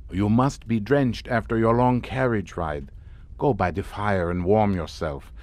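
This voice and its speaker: An actor playing a courtly, grandiose and mockingly amused Hungarian count in a suspense audio drama. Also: male voice, lowish voice, measured pace, darkish tone, no echo, natural voice. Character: sardonic, theatrical Hungarian count, host of a chateau.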